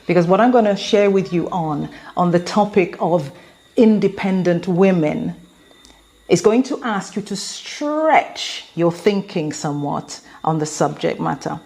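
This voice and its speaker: Slow, low-voiced English woman in her fifties. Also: a little mellow. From England. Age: 50s. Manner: measured, earnest, deliberate. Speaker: motivational speaker. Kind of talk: reflective spoken address.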